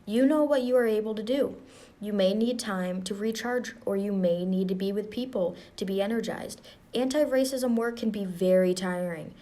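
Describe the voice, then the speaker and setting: A young woman reading a book aloud to students in a calm, encouraging voice, then talking through a class activity in a quick, chatty way. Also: mid-range voice. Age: young adult. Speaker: student reader.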